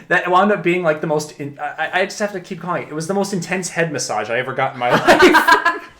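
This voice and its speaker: A young man chatting casually with a co-host while drawing, relaxed and laughing through his stories. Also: sits high, moderate pace, long pauses. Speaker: streaming artist. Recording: faint music behind.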